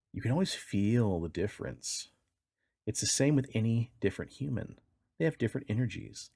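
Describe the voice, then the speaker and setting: A man's voice: medium pitch, gravelly, a little mellow, no echo, studio quality. A man hosting a podcast, reading odd news stories aloud in a wry, tongue-in-cheek way. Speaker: podcast host.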